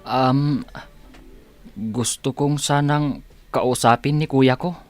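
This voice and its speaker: A man's voice: medium pitch, steady tone, slow, soft background music, natural voice. A Filipino actor playing a humble, hesitant younger brother pleading with his family in a radio drama.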